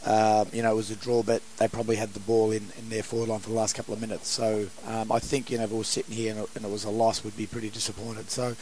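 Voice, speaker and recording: A hoarse man, a football coach answering post-match questions in a halting way. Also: fairly low pitch, monotone, darkish tone, a little hiss or hum, poor audio. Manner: halting, many restarts and fillers. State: hoarse, has lost his voice.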